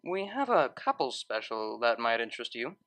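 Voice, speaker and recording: Woman acting as male pony waiter, smooth-talking, discreet, insinuating, animated delivery, storybook character. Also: extremely low pitch, normal speed, pitched below the performer's own voice, full character voice. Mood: sly. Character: sly, smirking stallion waiter, politely insinuating.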